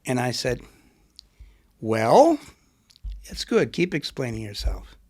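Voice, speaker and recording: A man's voice: mid-range voice, wildly swooping pitch, slow pace, darkish tone, slightly rough. A middle-aged man, an author and poet, being interviewed and thinking aloud with a reflective, rambling manner. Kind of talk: conversational interview.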